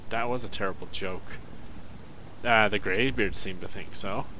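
A man's voice: lowish voice, normal speed. A man giving casual, wry gameplay commentary as he plays, muttering asides to himself. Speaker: let's play gamer. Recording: loud game noise behind voice. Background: video game ambience and effects.

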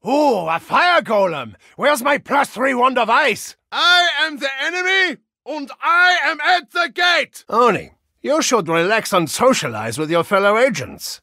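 A man playing a brash, violent, childlike soccer-hooligan agent in a video game, boisterous and swinging between muttering and yelling. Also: high-pitched, no echo, studio quality, full character voice. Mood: excited, then bellowing, triumphant.

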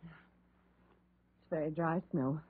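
A woman's voice: low-pitched, steady tone, extremely slow, breathy edge, dry recording, full character voice. An actress playing a sensible, prim young woman in a 1930s radio drama, earnest and given to explaining. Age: young adult. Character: sensible, prim young woman, scolding but fond of nature. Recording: tinny, muffled 1930s radio recording.

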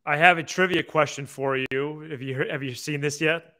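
A man's voice: a little high, steady tone, moderate pace, sharp tone. A young man hosting a sports podcast in a casual style, on a close microphone in a small room. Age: young adult. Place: small indoor room on a video call. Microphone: close podcast microphone with headset.

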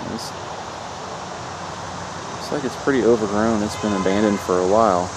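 A man with a Kentucky accent narrating his exploration slowly and hesitantly, recorded on a handheld camera outdoors in overgrown fields. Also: lowish voice. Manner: slow, hesitant, with fillers. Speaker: urban explorer vlogger. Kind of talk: casual vlog narration. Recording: outdoor camera audio with clear background noise.

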